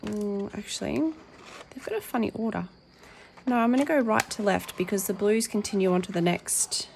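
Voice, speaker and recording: Croaky woman chatting through an unboxing. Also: medium pitch, moderate pace, background noise. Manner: chatty with frequent ums, reads packaging aloud. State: croaky, tired voice. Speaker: colouring channel host. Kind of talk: casual tabletop unboxing chat.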